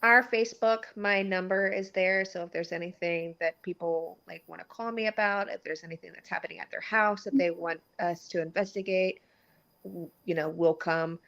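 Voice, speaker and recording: A woman's voice: lowish voice, fairly even intonation, normal speed, vocal fry, a bit bright. A woman speaking casually as a podcast guest who investigates the paranormal. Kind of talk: casual chat.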